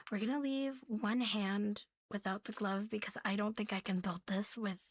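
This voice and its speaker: A woman hosting a soft ASMR show-and-tell in a playful, cutesy catgirl persona, breathy and often slipping into a whisper. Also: medium pitch, steady tone, normal speed, dry recording. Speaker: ASMR host.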